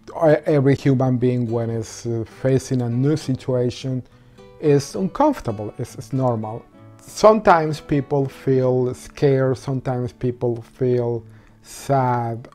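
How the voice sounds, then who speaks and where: Venezuelan man with a mellow voice. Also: medium pitch, soft background music. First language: Spanish. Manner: halting non-native English with many ums and restarts. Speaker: interviewee. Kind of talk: personal story interview.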